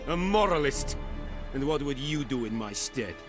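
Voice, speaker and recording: An actor playing a cold, merciless military commander in a video game, speaking formally with clipped authority. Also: male voice, mid-range voice, animated, normal speed, lightly acted. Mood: cool, haughty. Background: music.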